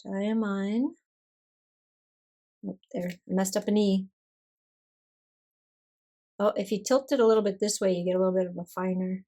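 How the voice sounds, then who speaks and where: A woman casually chatting through an ink swatching session at her desk, relaxed and self-deprecating, her voice a little hoarse from a cold. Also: medium pitch, normal speed, long pauses. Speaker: stationery vlogger.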